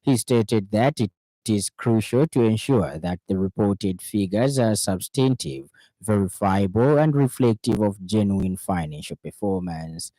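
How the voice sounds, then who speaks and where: A man with a Zambian accent reading a formal radio news bulletin in a measured, scripted delivery. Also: fairly low pitch, warm tone, dry recording.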